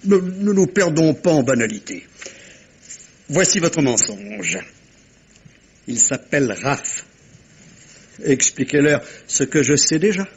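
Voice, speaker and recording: An actor playing a shrewd, self-assured middle-aged detective in a French radio mystery, commanding and theatrical, speaking with deliberate dramatic pauses. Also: male voice, fairly high pitch, animated, a little hiss or hum. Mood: triumphant. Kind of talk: 1950s-style French radio mystery acting.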